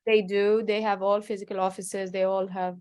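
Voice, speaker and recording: A woman's voice: medium pitch, level tone, moderate pace, a little mellow, clear, resonant tone. A woman, answering interview questions over a video call in a chatty, explanatory way with many restarts. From Morocco. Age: 30s. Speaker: guest real estate agent. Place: home with a city skyline behind.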